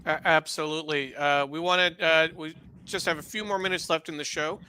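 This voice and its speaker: Bright, forceful higher-voiced male co-host. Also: steady tone, moderate pace, a little hiss or hum. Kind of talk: casual live show hosting.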